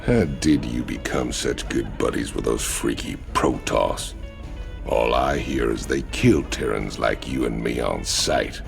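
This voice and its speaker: A burly American mercenary from a game cinematic, with a rock-bottom, gravelly voice. He drawls his cynical, blunt lines slowly over a music score. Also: very deep voice, full character voice. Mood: gruff, needling. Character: burly, cynical, rough-edged male outlaw mercenary. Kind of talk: video game cinematic acting. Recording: music behind the voice.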